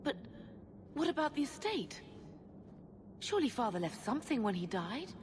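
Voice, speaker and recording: An actress playing a worried, gentle middle-aged mother in a video game cutscene, pleading softly. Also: high-pitched, very animated, normal speed, noisy background. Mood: anxious. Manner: pleading, soft.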